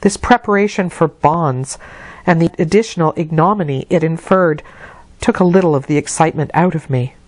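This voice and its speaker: A woman storyteller reading a classic novel aloud in an expressive literary narration. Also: low voice, moderate pace, no room sound, poor audio.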